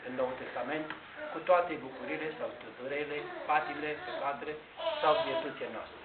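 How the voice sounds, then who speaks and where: Older man preaching in an echoey church. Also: fairly high pitch. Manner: measured, explanatory preaching with pauses and restarts. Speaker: priest. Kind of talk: sermon. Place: large Orthodox church interior, indoors. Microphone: far camera. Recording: tinny, echoey church recording with hiss.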